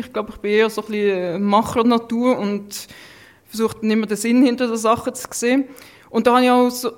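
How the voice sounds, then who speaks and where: A woman in her thirties with a mixed Swiss German accent, speaking casually and reflectively as a podcast guest. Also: a little high. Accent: Swiss German, mixed Lucerne, Thurgau and Zurich. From Switzerland. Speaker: interview guest, food scientist.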